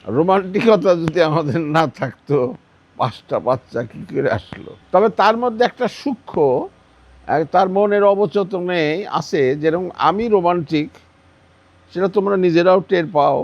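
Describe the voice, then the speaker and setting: A man's voice: high voice, normal speed, no room sound. An older man with a Bangladeshi accent, recounting personal memories in conversation, emphatically repeating phrases for stress. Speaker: interviewee, former armed fighter. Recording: dull, slightly muffled sound.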